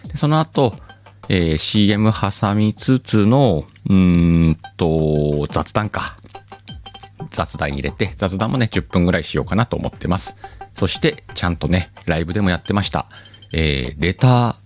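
A man's voice: deep voice, lively intonation, normal speed, faint music behind. A man hosting his own talk show, musing aloud in a loose, self-mocking way and chuckling at his own slips, with a lilt. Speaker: podcast host. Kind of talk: casual solo radio-style talk.

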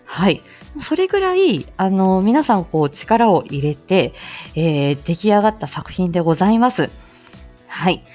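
A woman's voice: mid-range voice, very animated, normal speed, warm tone, faint music behind. A woman hosting a live audio stream with casual announcements in a playful, giggly, polite manner.

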